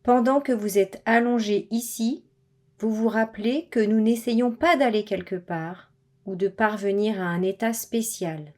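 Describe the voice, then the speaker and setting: A woman guiding a body scan meditation with measured, scripted instructions and pauses between phrases. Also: medium pitch, a little mellow. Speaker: meditation guide.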